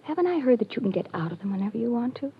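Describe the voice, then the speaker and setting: An actress playing a desperate young woman begging for help in a 1940s radio drama, pleading and often hushed. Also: fairly high pitch, sing-song, racing. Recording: lo-fi old radio broadcast, faint hiss.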